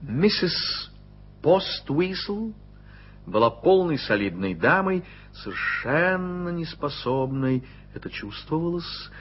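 Lively male radio-play narrator. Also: fairly high pitch, takes it slowly, husky. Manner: expressive storytelling. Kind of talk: scripted literary narration. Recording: dull old radio recording with music.

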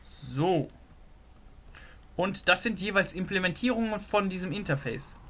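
A man walks through a software diagram as he draws it, thinking aloud with frequent filler words. His voice sits a little high and sounds bright and forward. He is recorded close on a desktop microphone. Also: expressive, a little slow. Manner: thinks aloud while sketching, many "so" fillers. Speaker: programming tutor. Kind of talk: screen-recorded whiteboard lesson.

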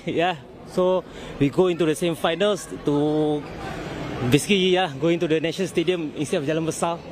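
A young man, a football fan, chatting casually and eagerly into a reporter's mic outdoors near a stadium. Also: on the high side. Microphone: handheld mic held out by reporter. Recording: noisy street outdoors.

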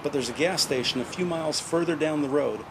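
A man's voice: on the high side, brisk. A man narrating an audio drama in scripted present-tense storytelling. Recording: vehicle and ambient noise behind.

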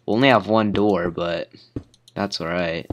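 Teenage boy, hoarse with a cold, low voice, unhurried rambling gaming commentary. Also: steady tone, slight background noise. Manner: rambling, lots of fillers. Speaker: gamer. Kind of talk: casual gameplay commentary.

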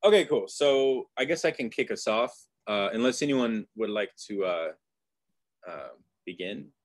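A low-voiced man hosts an online reading group in a relaxed, friendly manner. He talks casually and loosely over a video call. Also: a little slow. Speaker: study group host. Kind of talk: casual chat leading a study group.

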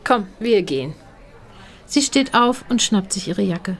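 A woman's voice: medium pitch, animated, a little slow. A woman reading a scripted first-person short story. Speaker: story narrator.

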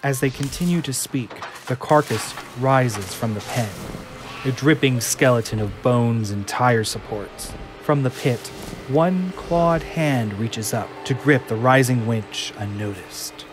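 A man reading stage directions aloud as narrator in an even, descriptive way. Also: medium pitch, measured pace, poor audio. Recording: loud music underneath.

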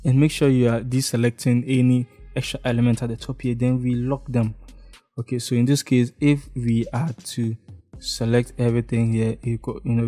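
A young man from Ghana with a Ghanaian English accent, talking step by step through a screen-recorded design tutorial and often checking in with "okay?". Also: medium pitch. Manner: relaxed, step-by-step, frequent "okay?" check-ins. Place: indoor room, face in webcam corner.

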